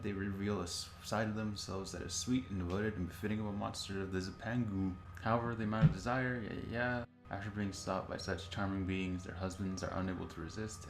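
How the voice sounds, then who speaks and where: A man's voice: low voice, creaky. A man reading wiki entries aloud casually, with joking, sarcastic asides. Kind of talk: casual comedic reading with banter. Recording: roomy echo, music playing behind. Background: background music.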